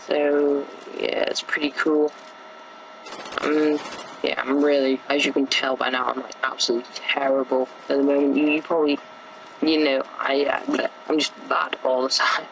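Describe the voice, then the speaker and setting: Teen boy, sore gravelly throat, flat rambling game commentary, faint hiss behind. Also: mid-range voice, low-quality recording. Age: teens. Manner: rambling, many fillers and restarts. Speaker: gameplay commentator. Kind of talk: casual gameplay commentary.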